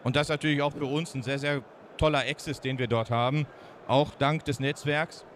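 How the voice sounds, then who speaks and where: A man in his fifties with a Ruhr German accent, pitching his startup's product at a trade fair booth into a handheld mic, folksy and lively with many fillers. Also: fairly quick, a little hiss or hum. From Ruhr area, Germany. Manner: lively, folksy, fillers, speeds up when proud. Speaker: startup founder presenting.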